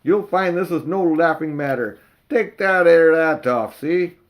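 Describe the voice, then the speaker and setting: A man's voice: on the high side, measured pace, pitched above the performer's own voice, lightly acted. A man voicing a stern, officious London policeman in a storybook, talking in a bossy, official way with dropped aitches.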